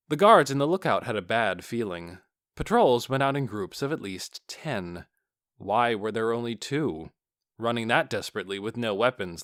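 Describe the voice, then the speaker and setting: A man narrates a fantasy audiobook in a mid-pitched voice. His delivery is expressive, with wide pitch movement. The recording is dry and close, with no room sound. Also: no echo. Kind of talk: scripted fantasy fiction narration.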